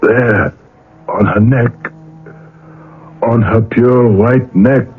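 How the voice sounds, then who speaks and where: Anguished dad, deep voice, slow deliberate pace, brooding, radio play, faint music behind. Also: male voice, fairly even intonation, breathy edge. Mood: grave, suspenseful. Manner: slow, deliberate, intense delivery. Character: anguished father Max, brooding, guilt-ridden, driven to violence. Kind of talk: radio drama acting and first-person narration. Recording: muffled phone-like old radio, faint music.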